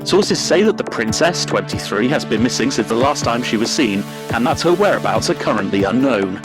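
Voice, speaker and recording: A man playing a formal, deadpan newsreader in a parody breaking news bulletin on a radio play. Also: medium pitch, a little fast, music in the background, close to an everyday voice. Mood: grave, deadpan.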